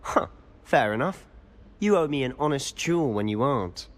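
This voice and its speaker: A man's voice: medium pitch, lively intonation, big-room sound, lightly acted. A young man voicing a sly wizard student. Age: young adult. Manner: charming, conspiratorial, quick-witted. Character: sly rule-breaking teenage male wizard student.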